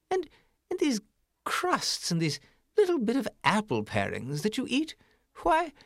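A prissy, stuck-up city mouse squeaks high above a normal voice, sniffing at everything with fussy, drawn-out scorn, as a man puts him on. Every boast swoops up and down with wild, lively pitch. The sound is close and dry. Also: dry recording, full character voice. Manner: haughty, fussy, drawn-out disdain. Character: snobbish bragging male city mouse.

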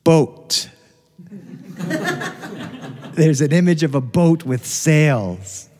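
A middle-aged man with an American accent tells a personal story to a live recording. His voice sits a little high, and he is expressive, leaning on dramatic pauses. A long reverb trails behind his words.

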